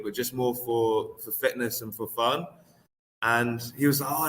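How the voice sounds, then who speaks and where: A young man, a triathlete talking casually as an interview guest, relaxed and hesitant with many fillers. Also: mid-range voice, in a large hall, a little hiss or hum, poor audio. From England.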